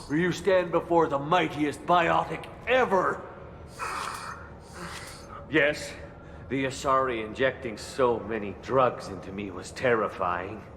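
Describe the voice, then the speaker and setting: A man's voice: mid-range voice, noisy background. An actor playing a drugged, delusional little alien merchant in a video game, rambling bombastically about his godlike power. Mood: dazed, self-satisfied. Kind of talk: video game character acting.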